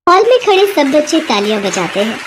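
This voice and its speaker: A woman narrating a children's moral story in an even storybook manner. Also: sits high, brisk, noisy background.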